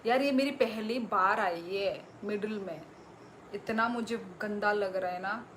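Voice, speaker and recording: Casting: young woman with a Northeast Indian accent, unhurried live-stream host, faint room hiss behind her. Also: mid-range voice, a bit bright. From Tripura, Northeast India. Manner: chatty, reads out viewer comments and thanks them. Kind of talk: casual live-stream chat.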